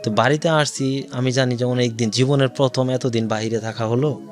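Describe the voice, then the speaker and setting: A man's voice: medium pitch, fairly even intonation, a little fast, soft background music. A man with a Bangladeshi accent tells his own life story as an interview guest, in detail and with quoted dialogue.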